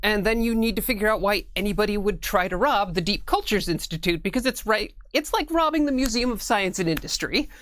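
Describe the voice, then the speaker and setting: A woman's voice: mid-range voice, lively intonation, a little fast, hardly any pauses, a bit bright, no echo. A woman speaking as a guest writer in a casual interview, with a dry, joking, self-deprecating manner. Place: studio.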